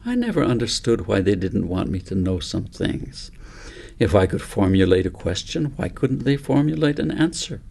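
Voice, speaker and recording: Storybook part: precocious small girl, earnest and articulate, read by a man in a low, creaky voice. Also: sing-song, moderate pace, a little mellow, heavily acted. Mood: earnest, puzzled. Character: earnest, precocious five-year-old girl recounting dreams. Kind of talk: storybook character reading.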